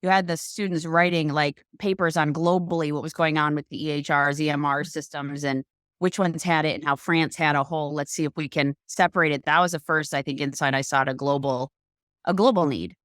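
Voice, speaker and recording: A woman's voice: sits low, steady tone, a little fast, fairly bright tone, no room sound, studio quality. A woman podcast host talking in a casual, enthusiastic, rambling way with loose phrasing. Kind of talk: casual conversational chat.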